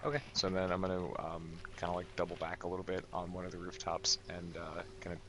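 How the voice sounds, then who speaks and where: A deep-voiced man speaks casually as a player in a tabletop game. His delivery stays quite flat as he explains his moves, and other voices can be heard behind him. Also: fast, a crowd in the background.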